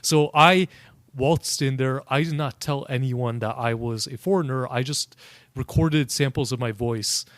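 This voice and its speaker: A man's voice: medium pitch, measured pace, dry recording. A man being interviewed, recounting his experiences in an earnest, conversational way and often checking in with the listener. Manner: earnest, keeps checking in with tag questions. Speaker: interview guest. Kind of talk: conversational storytelling.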